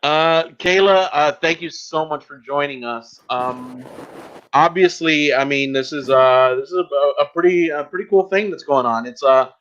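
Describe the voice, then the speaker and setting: A man hosting a casual podcast in an informal, halting, promotional way. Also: a little high, a bit bright, dull audio. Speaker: podcast host.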